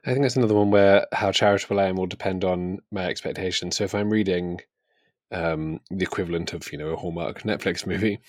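A man's voice: sits low, steady tone, fast, no echo, clean studio sound. A man with a British accent co-hosting a podcast, chatting casually and self-deprecatingly about books. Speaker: podcast co-host. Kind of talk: casual book discussion.

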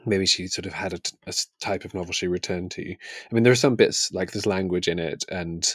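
Low-voiced man co-hosting a book podcast. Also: fairly even intonation, fairly quick, fairly bright tone, husky, no room sound, studio quality. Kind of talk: casual book discussion.

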